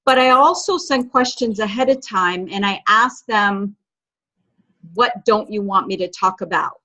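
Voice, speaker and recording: A woman who interviews people, speaking earnestly with halting starts on a video call. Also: mid-range voice, bright, forceful tone. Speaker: podcast interviewer. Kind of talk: casual video call chat. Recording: dull lo-fi call audio.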